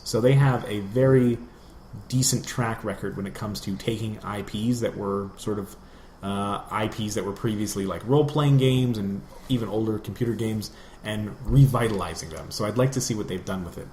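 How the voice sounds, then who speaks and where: A man's voice: lowish voice, normal speed, darkish tone. A man chatting casually and ramblingly as a podcast co-host. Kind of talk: casual gaming chat. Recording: roomy echo, clear background noise.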